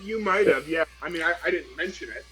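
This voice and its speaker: A man's voice: a little high, lively intonation, a little fast, pushed and bright, faint music behind. A man casually talking through a tabletop game out of character as a player, thinking aloud in a hesitant way. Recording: dull lo-fi audio.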